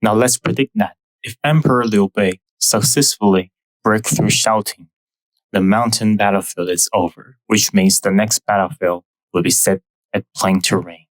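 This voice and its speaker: Young Taiwanese-accented male host, lowish voice, dry close mic, measured scripted history narration. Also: steady tone, no echo, rough recording. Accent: Taiwanese Mandarin. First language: Mandarin. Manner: careful, measured explaining with non-native phrasing.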